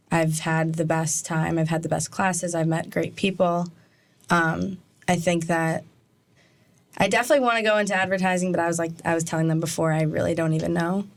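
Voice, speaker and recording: Young woman, New Jersey accent, slightly low voice, chatty student guest with lots of fillers. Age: young adult. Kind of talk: casual chat.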